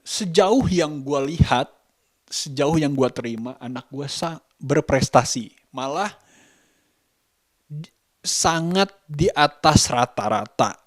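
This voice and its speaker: Slow, halting man. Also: on the high side, lively intonation, no room sound. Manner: hesitant, halting, searching for words with repeated phrases. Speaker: vlogger and host. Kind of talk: reflective casual vlog monologue.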